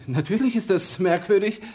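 Slow-spoken, troubled young curate. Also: male voice, high-pitched, animated, a little mellow, slight background noise. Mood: uneasy, agitated. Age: young adult. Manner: halting, uneasy. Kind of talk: radio drama acting.

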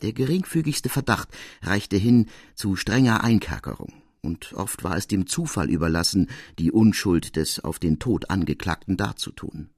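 A man reading a classic literary tale as narrator, in measured, formal storytelling prose. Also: deep voice, animated, a little mellow, husky, no echo, clean studio sound.

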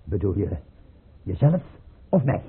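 A man playing a suspicious Russian emperor in a period radio play, erratic and husky, swinging from muttered questions to shrill commands. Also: big pitch swings, measured pace, heavily acted. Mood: suspicious, testing. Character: volatile, suspicious Russian emperor, imperious yet pleading. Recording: muffled, tinny old radio recording.

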